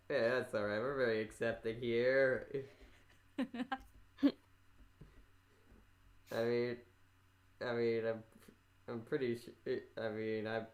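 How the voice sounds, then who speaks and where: A man's voice: on the low side, roomy sound. A man hosting a casual interview podcast, rambling and often stumbling over repeated words. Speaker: podcast host interviewing a creator.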